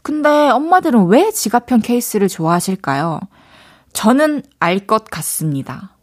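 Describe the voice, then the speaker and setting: A woman's voice: a little high, very animated, moderate pace. Chatty radio DJ woman with Daegu lilt. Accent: Daegu Gyeongsang Korean. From Daegu, South Korea. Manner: chatty, playful, thinks aloud. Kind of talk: casual radio chat reading listener letters.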